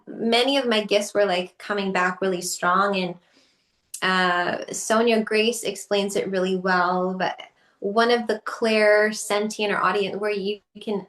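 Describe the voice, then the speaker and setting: A woman's voice: mid-range voice, a little slow. Chatty woman guest in an echoey room. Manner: chatty, many fillers and laughs, lively quoted asides. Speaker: podcast guest. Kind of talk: casual conversational storytelling.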